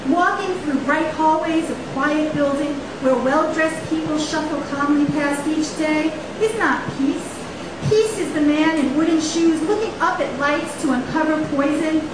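A woman in her thirties giving a dramatic poetry reading, with emphatic, deliberate phrasing and rhetorical repetition. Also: sits high. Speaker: poet reading own work. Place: small indoor poetry venue on stage. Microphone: stand microphone, picked up by camera. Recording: echoey live room, distant camera, faint hiss.

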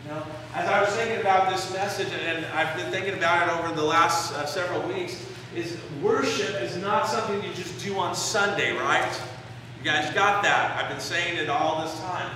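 A man in his forties preaches to his congregation, walking through a passage and pausing to stress key phrases. His voice sits high for a man. It comes from far off in a very echoey sanctuary with a long reverb tail. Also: bright, forceful tone, slight background noise. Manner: explanatory, gestures while preaching, pauses on key phrases. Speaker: pastor. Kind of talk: sermon. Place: church sanctuary, indoors, medium-sized. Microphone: far camera in the pews.

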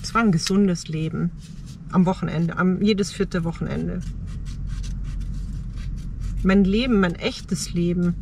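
A middle-aged woman talking reflectively in short, halting phrases in a personal vlog, recorded inside a parked car. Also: lowish voice, creaky, darkish tone, a little hiss or hum. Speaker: travel vlogger.

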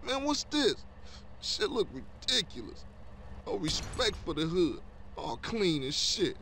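High-pitched cocky American gangster. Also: male voice, sing-song, unhurried, a bit bright, a little hiss or hum, exaggerated voice. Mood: disdainful. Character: cocky, grumbling streetwise male gang member. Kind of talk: video game cutscene acting.

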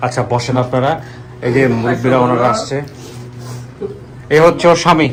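A man's voice: medium pitch, moderate pace, background noise. A man with a Bangladeshi accent hosting a show, addressing guests and viewers. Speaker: show host.

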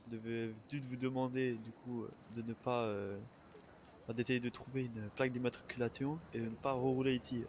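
A man's voice: mid-range voice, little pitch movement, brisk, a little mellow. A man role-playing a police officer in an online game, speaking in polite, fumbling officialese. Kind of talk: improvised police role-play, procedural speech. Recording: noisy gaming voice chat.

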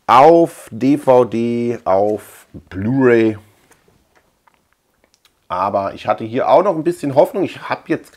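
A middle-aged man from Germany chatting informally through a collection show-and-tell, rambling and repeating words as he goes. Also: on the low side. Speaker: video collector and channel host. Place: indoor room lined with shelves of tapes.

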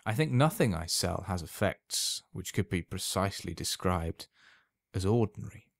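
A man's voice: sits low, expressive, normal speed, vocal fry, no echo, clean studio sound. A man voicing a polite, sly old potion seller in a storybook reading, formal and precise in manner.

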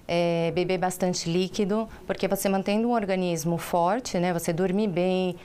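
A woman's voice: on the low side, fairly even intonation, moderate pace, mellow. A woman doctor, explaining health advice patiently on a live TV talk show, recorded in a studio on a lapel mic. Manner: patient, explanatory, with frequent filler tags. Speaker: doctor guest.

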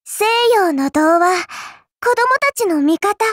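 A woman's voice: extremely high pitch, lively intonation, takes it slowly, dry recording, studio quality, heavily acted. A woman voicing a childlike, fairy-like game girl, cutesy and polite, with a sweetly teasing, faintly condescending manner. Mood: sweetly cheerful. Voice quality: cutesy, girlish. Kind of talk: Japanese mobile game character voice lines.

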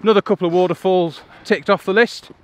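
A man's voice: sits high, steady tone. A man, chatting casually to camera as a photography vlogger outdoors. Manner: chatty, upbeat, colloquial. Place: outdoors by a waterfall in a valley. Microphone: on-camera mic, handheld selfie framing. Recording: rushing water noise behind. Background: waterfall and stream.

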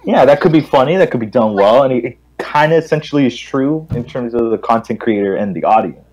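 A man's voice: mid-range voice, lively intonation, a little fast, darkish tone, rough recording. A man casually giving his opinion in a game discussion. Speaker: gaming stream panelist.

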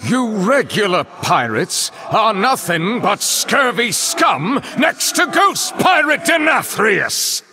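An actor playing a haughty, sadistic vampiric lord in a video game, with theatrical, pompous, aristocratic delivery. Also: male voice, high-pitched, big pitch swings, slow pace, hardly any pauses, sharp tone, exaggerated voice. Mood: menacing, gleeful. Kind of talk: video game character voice lines.

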